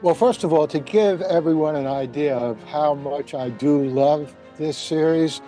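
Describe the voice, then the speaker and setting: A man's voice: fairly high pitch, a little slow, dark tone, soft background music. An older man talking casually as a panel guest, wry and emphatic, wandering into asides as he explains his opinions. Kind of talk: casual discussion, storytelling.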